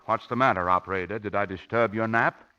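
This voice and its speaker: An actor playing a brusque, sarcastic police commissioner in 1940s radio acting style. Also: male voice, on the low side, fast talker, pushed and bright. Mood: irritated, sarcastic. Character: gruff, sarcastic middle-aged police commissioner. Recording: muffled, noisy, clicking 1940s radio recording.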